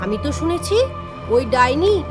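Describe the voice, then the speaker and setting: Woman as an anxious, high-voiced village girl. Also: big pitch swings, measured pace, over music. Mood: worried. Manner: anxious, scolding, pleading. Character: gentle, worried young village girl, scolding older sister. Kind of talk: cartoon dialogue acting.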